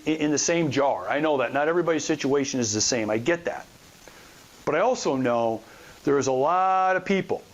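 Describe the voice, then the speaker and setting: A man with a notably high-pitched voice speaks as a podcast host giving advice. He is blunt and colloquial, leaning on repeated phrases and rhetorical questions to drive points home. The delivery is an informal, emphatic monologue aimed straight at listeners. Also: dull audio. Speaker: podcast host and real estate investor.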